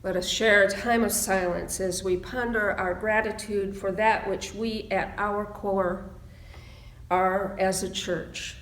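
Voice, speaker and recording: A woman minister leading a reflective prayer and meditation in a solemn, measured way with deliberate phrasing. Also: medium pitch, steady tone, a bit bright, slight background noise. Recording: roomy, echoing sound.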